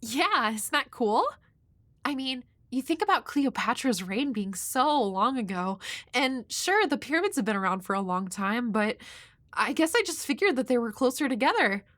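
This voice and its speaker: A woman's voice: fairly high pitch, very animated, normal speed, a bit bright, dry recording. A woman playing a shy, awkward, nerdy young woman next door in an audio roleplay, hesitant and rambling with a nervously apologetic manner. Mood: nerdy, eager. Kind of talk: intimate audio roleplay addressed to the listener.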